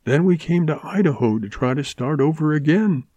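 A man's voice: fairly high pitch, expressive, normal speed, warm tone, no room sound, lo-fi sound, voice pushed up. A man voicing an earnest, tearful young ranch woman in a read western story. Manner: pleading, heartfelt.

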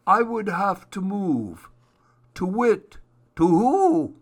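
A man's voice: high voice, big pitch swings, very slow, voice pushed up, heavily acted. A man voicing a fretful storybook owl with a fussy, hooting way of talking. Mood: fussy worry.

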